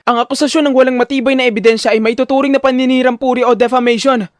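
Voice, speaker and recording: A man voicing a determined, defiant male high school student in an audio drama, speaking firmly and formally. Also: level tone, racing, no room sound, studio quality. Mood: defiant, challenging. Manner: firm, formal, respectful but challenging.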